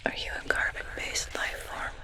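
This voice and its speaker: A woman's voice: normal speed, natural voice. Whispering female alien technician played by a woman. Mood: puzzled, muttering. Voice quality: breathy whisper. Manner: hushed, questioning, muttering to herself. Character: curious, clinical alien technician examining an unfamiliar species. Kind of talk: ASMR roleplay.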